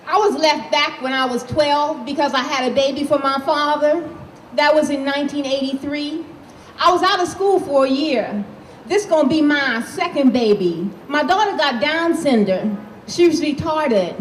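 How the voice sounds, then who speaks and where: A blunt, defiant teenage girl from Harlem with a streetwise, profane way of talking, as a woman reads her aloud from a novel. Her voice sits fairly high. It rings in an echoey room with a faint hiss behind it. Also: normal speed, lightly acted. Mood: matter-of-fact. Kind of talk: reading a novel aloud in character.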